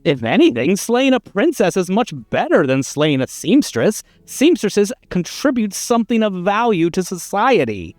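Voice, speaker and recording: A man's voice: high-pitched, very animated, normal speed, hardly any pauses, no room sound, faint music behind. A man voicing a pushy, exasperated, wordy narrator of a storybook game, with a theatrical and insistent delivery.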